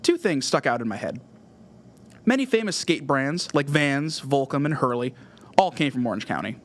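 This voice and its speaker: A man's voice: medium pitch, sing-song, moderate pace, a bit bright. A man with a Californian American accent reads scripted narration as a music podcast host, with emphatic phrasing. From California, USA. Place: podcast studio.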